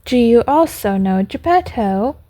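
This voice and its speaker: Woman reading as a calm, high-voiced storybook pigeon. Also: a little slow, dry recording. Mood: inquiring. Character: large male pigeon, calm, helpful messenger. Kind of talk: storybook character voice.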